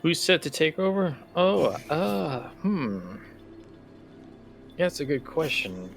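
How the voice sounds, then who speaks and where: Male game master, unhurried with vocal fry, improvising over faint noise. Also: on the high side, sing-song. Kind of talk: improvised tabletop role-play.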